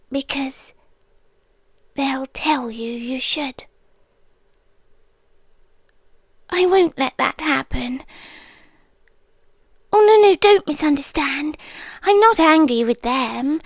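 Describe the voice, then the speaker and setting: A British woman voicing a possessive female guardian angel in an ASMR roleplay, half-whispering softly and coaxingly into a close microphone. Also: high-pitched, no room sound, heavily acted. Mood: tender, unsettling. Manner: soft, intimate half-whispering; calm, coaxing and slow.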